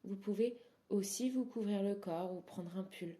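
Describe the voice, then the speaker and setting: A woman guiding a yoga nidra relaxation as an instructor, speaking softly and soothingly in short, unhurried phrases that sometimes trail into breathiness. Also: medium pitch. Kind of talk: guided relaxation meditation.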